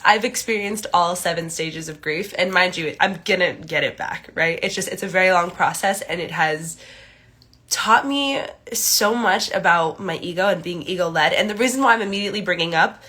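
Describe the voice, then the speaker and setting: A young woman with a stuffy, congested nose, chatting casually to viewers on a phone livestream with lots of filler words, recorded in a small room. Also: on the low side. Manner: chatty, many "like" and "um" fillers, greets viewers brightly. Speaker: astrology livestream host. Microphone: phone camera at arm's length.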